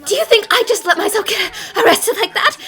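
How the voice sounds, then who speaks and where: A woman voicing a plucky, confident young female detective in an animated fan dub, theatrical and excitable. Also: extremely high pitch, brisk, exaggerated voice. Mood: determined.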